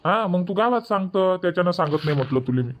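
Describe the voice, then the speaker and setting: A man's voice: high-pitched, animated, quick pace, slight background noise. A man voicing an evasive village farmer husband in a dubbed cartoon comedy, grumbling and bossy.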